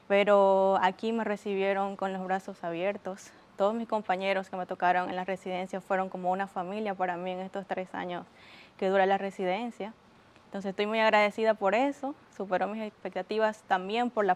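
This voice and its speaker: Young Bolivian-accented woman doctor. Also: medium pitch. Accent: Bolivian Spanish. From Argentina. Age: young adult. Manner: earnest, explaining with hesitations. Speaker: doctor, diabetologist guest. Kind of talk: TV interview.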